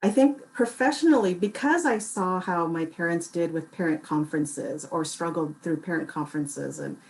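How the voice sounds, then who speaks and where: Woman panelist, somewhat low voice, thoughtful and chatty. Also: normal speed, gravelly, darkish tone, a little hiss or hum, rough recording. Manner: reflective, conversational, occasional laughs. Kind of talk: casual panel talk.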